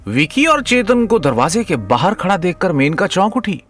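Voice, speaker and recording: A man's voice: high-pitched, very animated, moderate pace, fairly bright tone. A man narrating a scripted audio story in a dramatic storytelling style.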